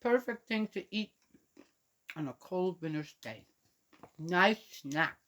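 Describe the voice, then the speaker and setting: A woman from Switzerland chatting gently to young children and reading them a picture book aloud. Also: fairly low pitch, expressive, takes it slowly. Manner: gentle, chatty, talks directly to young listeners. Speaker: children's storyteller.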